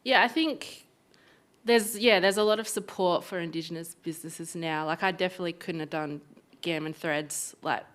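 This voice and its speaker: A woman's voice: on the low side, moderate pace, a bit bright. A woman answering on a panel, hesitant with many fillers. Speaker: guest business owner. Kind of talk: panel discussion.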